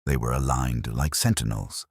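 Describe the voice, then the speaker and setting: A man reads a scripted first-person detective story in a measured, solemn, reflective manner, as a literary narrator. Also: extremely low pitch, vocal fry, slightly rough, no room sound, clean studio sound. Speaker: audiobook-style narrator.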